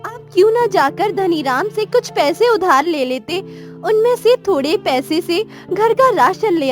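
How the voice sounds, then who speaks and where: A woman's voice: extremely high pitch, full character voice. A woman voicing a worried, devoted wife of a poor village farmer, respectful and encouraging, in a moral story. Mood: urging. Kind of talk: animated moral story dialogue.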